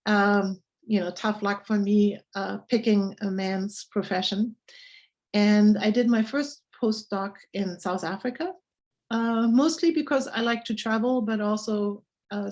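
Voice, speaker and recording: Casting: German-accented woman, sixties, scientist guest, mid-pitched, halting delivery. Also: fairly even intonation, a little mellow, highs cut off. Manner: halting, many pauses and fillers. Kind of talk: interview chat.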